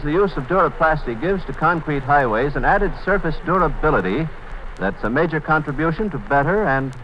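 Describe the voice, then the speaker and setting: A man announcing for the sponsor, reading a commercial in an earnest, steady manner. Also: mid-range voice, a little hiss or hum. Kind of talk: read commercial. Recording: dull lo-fi 1950s radio broadcast.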